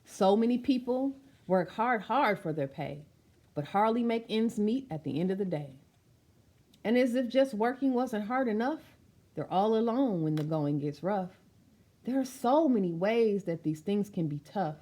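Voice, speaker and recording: A woman's voice: medium pitch, animated, a little slow, warm tone. A woman reading a children's picture book aloud, speaking expressively and directly to young listeners with chatty asides. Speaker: storytime reader.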